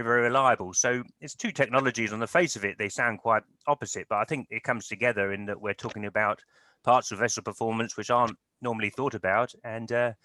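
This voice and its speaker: A man hosting a webinar, introducing the topics and the first speaker in a measured, explanatory way. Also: medium pitch, sharp tone, no echo. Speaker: webinar host. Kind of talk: moderating a webinar. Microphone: video call.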